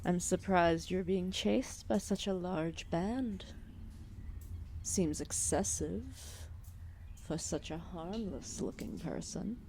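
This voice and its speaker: A giant serpent deity, played by a woman, speaks with drowsy, regal amusement in an ASMR roleplay. The voice is dark and mellow with a creaky edge. The delivery is formal, condescending and drawn out, over faint background noise. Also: on the low side. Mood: amused condescension. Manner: slow, languid, formal, condescending speech. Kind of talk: ASMR roleplay audio drama.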